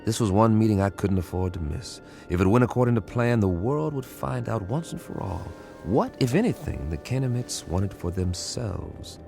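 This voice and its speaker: A wry male codebreaker in a radio drama, low and gravelly. His folksy, persuasive delivery swings widely in pitch, over a clear music bed. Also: a little mellow. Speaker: lead actor and first-person narrator. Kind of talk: radio drama acting and narration. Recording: music underneath.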